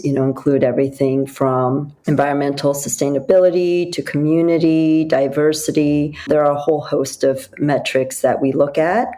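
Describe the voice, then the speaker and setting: A woman from South Korea talks as a podcast guest, explaining her company in a steady, businesslike way. Her voice sits very low and sounds mellow and dark. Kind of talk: podcast interview.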